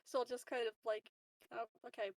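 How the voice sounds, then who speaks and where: High-voiced, giggly woman gamer. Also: level tone, fairly quick, no room sound, rough recording. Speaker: tabletop game player. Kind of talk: casual, giggly chat.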